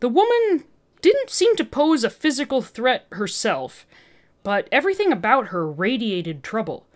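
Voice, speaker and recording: A woman narrating fan fiction in an expressive storytelling style. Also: a little high, unhurried.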